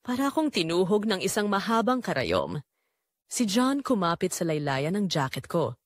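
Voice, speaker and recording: A Filipino woman narrates a dramatic first-person story. Her delivery is emotive and confessional, with lively swings in pitch, recorded very dry and close. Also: moderate pace, no room sound.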